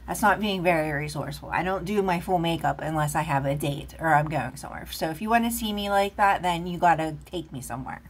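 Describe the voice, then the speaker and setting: A woman's voice: deep voice, fast talker, few pauses, slight background noise. A woman giving a casual, rambling tarot reading, chatting with lots of fillers while recorded off camera at a table indoors. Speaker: tarot reader.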